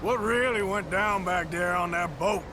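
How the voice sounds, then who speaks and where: A man's voice: high voice, normal speed, close to an everyday voice. Outlaw henchman played by an actor, noisy background. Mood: concerned, questioning. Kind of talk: dramatic western video game acting.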